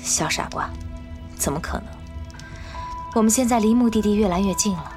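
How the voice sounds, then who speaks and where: A woman's voice: medium pitch, expressive, moderate pace, close to an everyday voice. Composed, tough fighter played by a woman, music behind. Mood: soothing. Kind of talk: Chinese audio drama acting.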